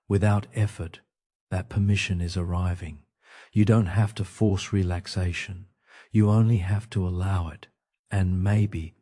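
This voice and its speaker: A man guiding a sleep meditation in a calm, gentle, soothing manner, speaking in short phrases with pauses. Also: low-pitched. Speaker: meditation guide.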